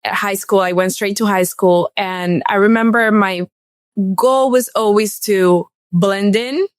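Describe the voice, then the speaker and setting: A woman's voice: mid-range voice, unhurried, no echo, clean studio sound. A woman in her thirties from Cuba, a podcast guest telling her own story in a candid, casual way. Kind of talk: personal storytelling.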